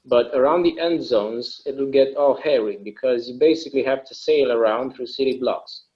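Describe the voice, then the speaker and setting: A man's voice: mid-range voice, normal speed, darkish tone, natural voice. A man playing an easygoing, imaginative husband in a casual stage play table read, on a slightly dull microphone. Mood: musing, eager. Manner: casual, musing, agreeable. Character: easygoing, imaginative husband who enjoys inventing games.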